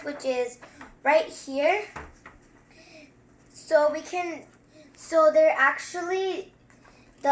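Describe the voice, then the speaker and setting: A female story-time host reading aloud and adding casual, chatty commentary in a halting way. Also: high-pitched, fairly bright tone. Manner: halting word-by-word reading, informal chatty asides. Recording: roomy echo.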